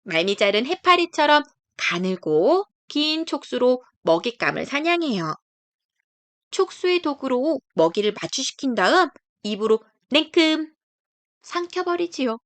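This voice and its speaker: A woman narrates a picture book for young children in a high, very animated voice. She explains things gently, as a storyteller would. Her tone is clean and smooth. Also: measured pace, dry recording.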